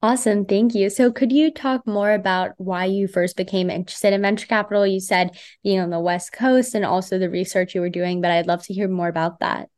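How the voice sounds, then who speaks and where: A woman hosting a podcast interview, asking friendly questions in a steady tone. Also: mid-range voice, fairly quick, few pauses. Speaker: podcast host.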